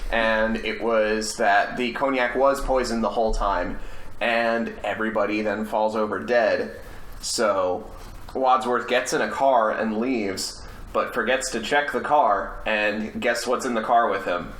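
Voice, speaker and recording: A man's voice: fairly low pitch, steady tone, a little slow, a bit bright. A man chatting casually and jokingly about films as a podcast panelist among friends. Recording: echoey room, faint background noise.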